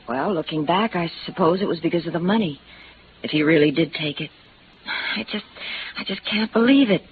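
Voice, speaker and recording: A woman acting a wistful, bitter secretary in love, earnest and halting, in 1950s radio drama style. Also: fairly low pitch, lightly acted. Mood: wistful, troubled. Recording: dull, noisy vintage radio recording.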